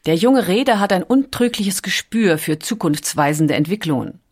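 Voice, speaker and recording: A woman narrates a documentary from a script. Her voice sits a little lower than most women's, and she reads as a narrator telling a factual story. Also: expressive, normal speed, darkish tone.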